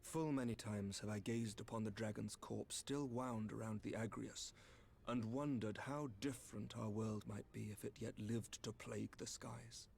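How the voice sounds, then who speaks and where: An actor playing a courteous, grave knight commander in a fantasy game, speaking in formal, measured, archaic phrases with a slightly creaky voice. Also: male voice, lowish voice, fairly even intonation, a little mellow, a little hiss or hum. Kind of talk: scripted fantasy game dialogue.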